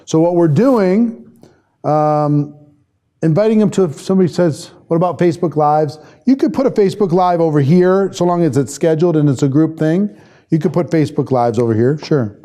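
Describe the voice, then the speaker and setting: A man with a mellow, relaxed voice that sits a little high for a male speaker. He leads an informal live online class as a trainer, talking conversationally and often thinking aloud. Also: moderate pace. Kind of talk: informal live lesson, reading and answering viewer comments.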